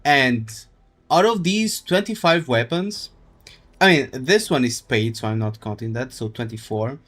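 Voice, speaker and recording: A man live streaming a game, chatting casually in a halting, rambling way as he thinks aloud. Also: medium pitch, fairly bright tone. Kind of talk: casual gaming stream chat.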